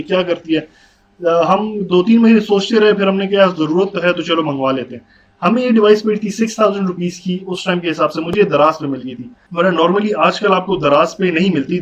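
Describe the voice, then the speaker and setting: A man with a Pakistani accent giving a casual, conversational product review, mixing English words into his speech. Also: sits high. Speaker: motorcycle vlogger reviewing gear. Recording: dull audio with background noise.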